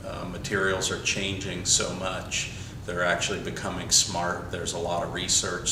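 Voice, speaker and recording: Deep flat-voiced man, far-off echoey mic. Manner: measured, lists ideas one by one. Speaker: architect. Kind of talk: documentary interview. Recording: echoey room, mic set far off, noisy.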